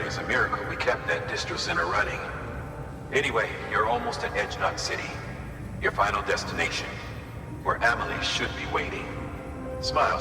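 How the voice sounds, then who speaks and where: A low-voiced commander in a game, steady and authoritative as he briefs his operative. The voice rings with long reverb, set back from the mic, with music behind. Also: distant mic. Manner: measured, instructive. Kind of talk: video game mission briefing.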